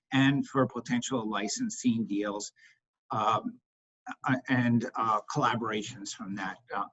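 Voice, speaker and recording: A husky man speaking as a company executive, answering questions on an investor call in a halting way, repeating words for emphasis. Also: medium pitch. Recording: dull, lo-fi conference call audio.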